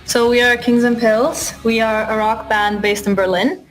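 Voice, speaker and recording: A woman, giving brief, soft-spoken interview answers. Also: a little high, steady tone, normal speed, soft background music, rough recording. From Romania. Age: 20s. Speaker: keyboardist in a rock band.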